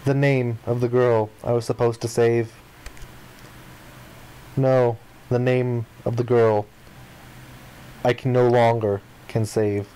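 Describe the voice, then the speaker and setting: A man's voice: mid-range voice, level tone, takes it slowly, long pauses, mellow, clean tone, rough recording. Man dramatically reading story text aloud. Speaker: let's player reading a visual novel aloud. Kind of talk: dramatic read-aloud narration of game text.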